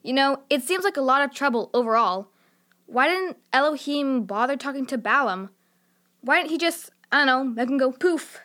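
High-pitched, eager young adventurer. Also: female voice, moderate pace. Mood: puzzled. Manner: slangy, expressive. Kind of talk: children's audio drama acting.

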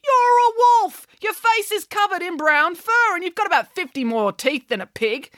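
A woman reading a storybook voices a bold young piglet girl in a high, bossy, lecturing voice. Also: very animated, moderate pace, sharp tone, no room sound, clean studio sound, heavily acted.

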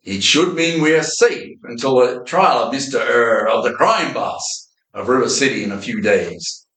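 An actor playing a weary, wisecracking detective in an amateur old-time radio drama. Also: male voice, medium pitch, normal speed, fairly bright tone, lightly acted. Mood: earnest, recounting. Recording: roomy, echoey amateur recording.

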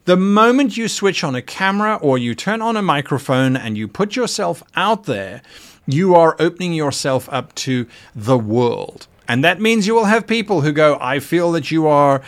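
A man with a somewhat high voice that rises and falls a lot, hosting a casual livestream. He talks in an earnest, thoughtful way, pausing and restarting as he works through his points. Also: big pitch swings. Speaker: podcast host and game master.

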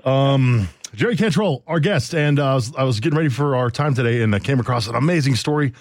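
A man's voice: medium pitch, animated, fast. A man hosting a radio interview in casual, slangy, informal chat.

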